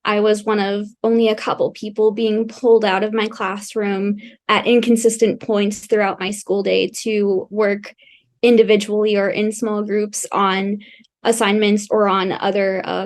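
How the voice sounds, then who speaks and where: A young woman speaking as a podcast guest, fluent, articulate and reflective as she explains her experiences. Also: medium pitch. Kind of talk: casual podcast interview.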